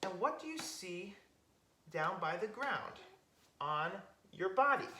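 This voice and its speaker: A woman's voice: medium pitch, very animated, slow, a little hiss or hum. A woman hosting a storytime for young children, talking and singing to them in simple terms. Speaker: children's storytime host and singer. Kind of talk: children's singalong presenting. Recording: roomy echo, loud acoustic guitar music. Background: acoustic guitar music.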